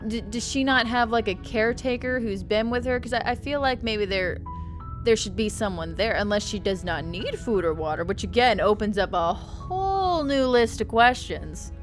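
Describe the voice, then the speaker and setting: A woman's voice: a little high, expressive, moderate pace, faint music behind. A young adult woman streaming a game with casual commentary, rambling and joking with stammering restarts.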